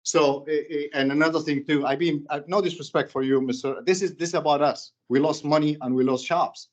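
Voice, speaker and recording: Impassioned Sudanese-accented man, slightly high. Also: fairly even intonation, highs cut off. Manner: impassioned, halting, with many restarts and repetitions. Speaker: public commenter. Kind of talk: public comment at a board meeting.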